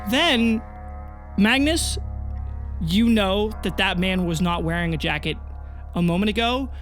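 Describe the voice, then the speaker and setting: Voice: medium pitch, fairly bright tone. A game master narrating a tabletop roleplaying game with dramatic, casual, improvised storytelling.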